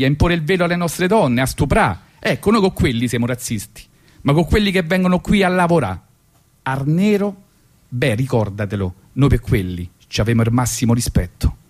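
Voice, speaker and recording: A man's voice: on the high side, voice pushed up, close to an everyday voice. A man voicing a smug, falsely regretful boss in a satirical monologue, with a hypocritically polite, self-justifying manner.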